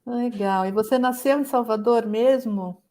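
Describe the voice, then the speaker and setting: A woman's voice: a little high, measured pace, warm tone. A woman with a Brazilian accent hosting an interview in a casual, informal and encouraging conversational way. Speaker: interviewer, host.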